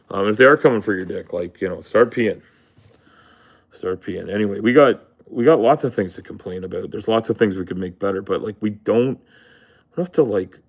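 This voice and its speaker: Man, lowish voice, loose rambling rant, lots of swearing. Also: darkish tone. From Canada. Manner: rambling, profane, full of fillers. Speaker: livestream host. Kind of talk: casual livestream rant.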